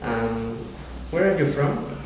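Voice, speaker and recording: A young man from Mexico with a Mexican Spanish accent, a native Spanish speaker, asking prepared interview questions hesitantly with many pauses. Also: medium pitch, lively intonation. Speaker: student interviewer. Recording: distant mic, echoey hall, noticeable noise.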